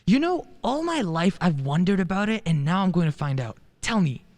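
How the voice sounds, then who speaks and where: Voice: medium pitch, very animated, moderate pace, darkish tone, lightly acted. An actor playing a friendly young male lawyer in a radio play, breezy and wry. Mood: wry, amused. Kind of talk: stage-style radio play acting.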